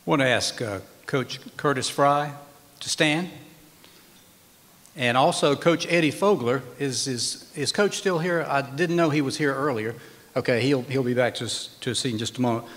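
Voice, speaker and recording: A man emceeing a formal hall of fame ceremony. He reads an honoree's record in measured, emphatic phrases from a podium in a banquet hall. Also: mid-range voice, fairly bright tone. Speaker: master of ceremonies. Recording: long reverb of a large hall.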